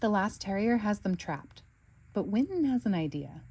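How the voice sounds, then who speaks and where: A woman reading a children's storybook aloud with expressive narration. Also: mid-range voice, normal speed, breathy edge. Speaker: storybook reader.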